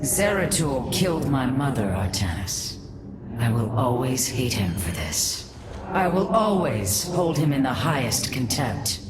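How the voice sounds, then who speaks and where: An actress playing a proud, bitter alien matriarch in a sci-fi game cutscene, speaking in a cold, formal, solemn way. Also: female voice, extremely low pitch, very animated, unhurried, heavy background noise, heavily acted. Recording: echoing voice under very loud score.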